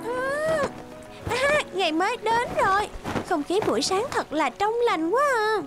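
Carefree cartoon swan, very high voice, breezy, self-satisfied, music underneath. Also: female voice, expressive, measured pace. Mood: delighted.

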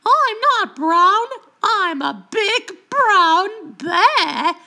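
Playful little cartoon explorer critter, bright punchy voice, bounces up and down in pitch. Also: female voice, lively intonation, heavily acted. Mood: playful, excited. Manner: exclamatory, playful, slow short catchphrases. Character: playful childlike cartoon color creature, eager explorer. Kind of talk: cartoon character voice lines.

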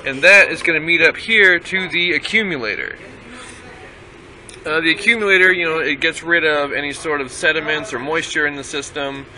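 A man, giving an informal, halting hands-on technical walkthrough as an automotive student. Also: a little high, bright, forceful tone. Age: 20s. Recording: noisy amateur camera audio, vehicle noise.

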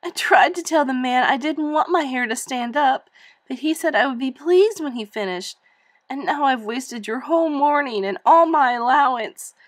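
Female narrator as a tearful teen girl, storybook role, high and wobbly. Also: takes it slowly, dry recording. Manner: pleading, on the edge of sobbing. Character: upset teenage girl, tearful and self-conscious.

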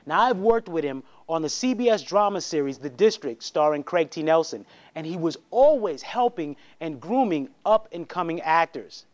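A man's voice: a little high, moderate pace, few pauses. A middle-aged man hosting a talk show, hyping the next segment and interviewing a guest in a studio. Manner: energetic, hyped promo delivery. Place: studio set with a large screen.

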